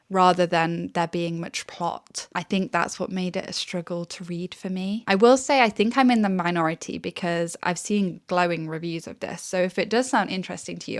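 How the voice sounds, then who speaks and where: Chatty young woman reviewing books. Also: on the low side. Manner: chatty, frequent "um" and light laughs. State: recovering from illness, may sound croaky. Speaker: book vlogger. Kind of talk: casual book review monologue.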